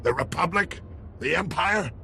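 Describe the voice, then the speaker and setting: An actor playing a proud, menacing alien ex-soldier villain in a video game, gravelly, taunting and boastful. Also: male voice, fairly high pitch, lively intonation, measured pace, bright, forceful tone, a little hiss or hum, full character voice.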